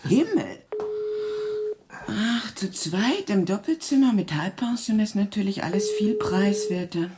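Actress playing animated, flirtatious woman, noisy recording. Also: medium pitch, unhurried, natural voice. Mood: playful, flustered. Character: chatty, flirtatious, easily startled woman. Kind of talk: radio drama dialogue.

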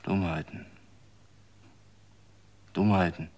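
A man playing a weary, bored figure who speaks slowly and sometimes sinks into whispers. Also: deep voice. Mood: bitter. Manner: weary, flat, slow, drops to whispers. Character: bored man longing for any excitement. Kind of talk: radio drama acting.

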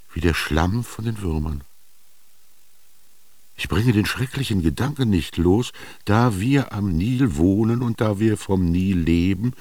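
Deep, gravelly, brooding ancient Egyptian. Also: male voice, darkish tone, no room sound, full character voice. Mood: sorrowful, intense. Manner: lyrical, brooding, with rhetorical questions and lingering pauses. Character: Amenhotep, melancholy, yearning ancient Egyptian man recalling his youth. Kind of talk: literary radio drama monologue.

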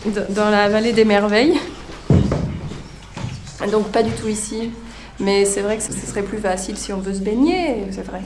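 A woman plays a young woman answering casually with hesitations. Her voice is mid-pitched. The room is echoey with background noise. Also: moderate pace, pauses often, natural voice.

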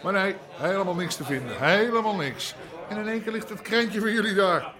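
An actor playing a blustering middle-aged underworld boss in a radio drama. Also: male voice, high voice, expressive, fairly quick, crowd noise. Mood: boisterous, chummy. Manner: blustering, colloquial. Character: brash, boisterous Amsterdam underworld boss.

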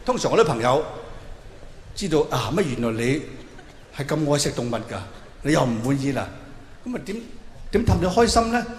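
A man's voice: on the high side, sing-song, brisk, long pauses. A man with a Hong Kong Cantonese accent doing theatrical, exclamatory stand-up storytelling, acting out dialogue for a live audience. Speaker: stand-up comedian. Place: large hall with live audience. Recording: echoey hall with noticeable reverb, faint noise.

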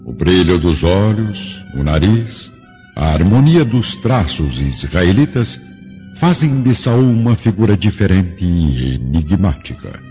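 Very deep, dramatic Brazilian male narrator. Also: sing-song, slow pace, warm tone. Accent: Brazilian Portuguese. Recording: muffled radio drama recording with music.

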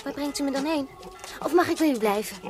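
A polite, curious little girl from a convent, voiced by a female performer. Her voice is very high, in the style of a period audio drama. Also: fast talker, lightly acted. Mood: eager, anxious.

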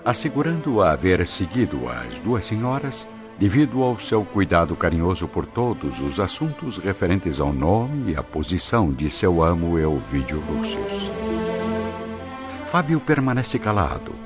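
A man's voice: low-pitched, sing-song, a little slow. A man narrating a radio drama from a script in a formal, theatrical style. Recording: dull lo-fi radio drama recording. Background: music.

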